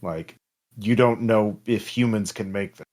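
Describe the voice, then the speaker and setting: A man narrating as game master of a tabletop roleplaying game, in a halting, improvised way. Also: on the low side, fairly even intonation, darkish tone.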